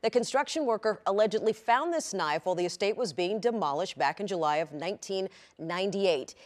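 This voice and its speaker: A woman anchors a television newscast in an American accent. Her voice sits at a middle pitch as she reads reports and interviews guests in a broadcast register. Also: lively intonation, brisk. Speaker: news anchor. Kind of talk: TV news reading and interviewing.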